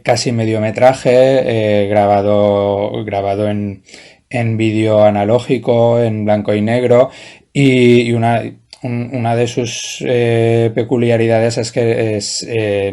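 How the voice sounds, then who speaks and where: A man's voice: medium pitch, flat delivery, takes it slowly, warm tone, smooth. A man, a writer answering interview questions in a hesitant, thoughtful way with many restarts. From Valencia, Spain. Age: 40s. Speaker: guest writer.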